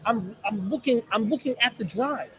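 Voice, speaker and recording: A man's voice: very high voice, normal speed, bright, forceful tone, slight background noise, rough recording. A man co-hosting a sports radio show in casual banter, telling stories in a blunt, joking way. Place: live remote broadcast at a casino.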